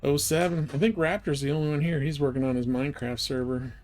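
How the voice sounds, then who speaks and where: A man's voice: fairly high pitch, steady tone, fast talker, darkish tone. A man livestreaming a video game, thinking aloud in casual commentary and chatting with viewers. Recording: voice over clear background noise.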